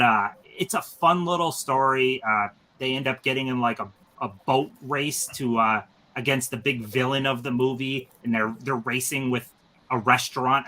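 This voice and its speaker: Guy in his 30s hosting a movie stream, bright voice, eager and rambly. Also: medium pitch. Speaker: stream host. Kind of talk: casual panel chat.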